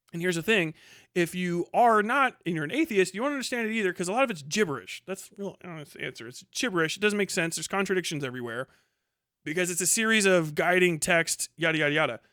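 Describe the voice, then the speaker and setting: A man's voice: high-pitched, expressive, fast talker, pushed and bright, no room sound, clean studio sound. A man delivering a casual, ranting livestream monologue in a colloquial, rambling, sweary way. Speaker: livestream host.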